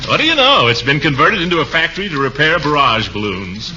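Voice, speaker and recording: An actor playing a pompous, blustering middle-aged uncle in a 1940s radio comedy, full of puns and chuckles. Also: male voice, on the high side, sing-song, brisk, noisy background. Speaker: comic lead of a radio sitcom. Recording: dull lo-fi 1940s radio recording.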